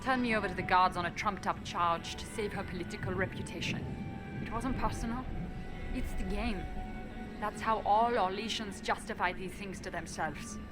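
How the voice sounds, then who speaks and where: An actress playing an elven spymaster in a video game, guarded and coolly defiant, speaking in a measured, dry way. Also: female voice, mid-range voice, lightly acted. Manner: measured, matter-of-fact, dry. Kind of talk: video game dialogue acting. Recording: music behind the voice. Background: game music.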